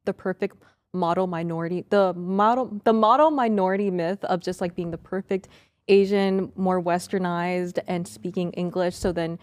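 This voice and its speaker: Low-voiced woman with a dry, close sound. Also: steady tone, moderate pace, a little mellow, dry recording. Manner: reflective, explains at length. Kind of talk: casual chat.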